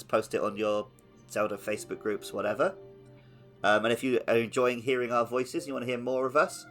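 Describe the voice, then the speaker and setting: A man's voice: mid-range voice, a bit bright. A man co-hosting a podcast, chatting casually about video games. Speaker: podcast co-host.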